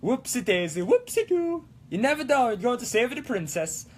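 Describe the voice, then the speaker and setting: A man doing a high, excitable cartoon Italian guy. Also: normal speed, heavily acted. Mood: excited. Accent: mock Italian. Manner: exclamatory, playful, sing-song broken English. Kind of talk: live-streamed prop-making vlog in a put-on accent.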